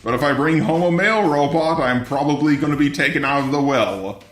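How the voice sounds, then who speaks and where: A man's voice: on the high side, brisk, a bit bright, roomy sound. A synthetic, robotic male voice delivering scripted commentary in a blunt, sardonic way, as a robot persona. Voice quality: synthetic robotic text-to-speech voice. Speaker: video commentator.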